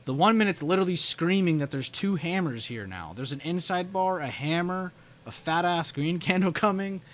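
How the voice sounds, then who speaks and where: A man hosting a live trading stream, animated and joking, with plenty of filler words. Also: sits high, moderate pace. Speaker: stream host and trading teacher. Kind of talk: casual live-stream chat.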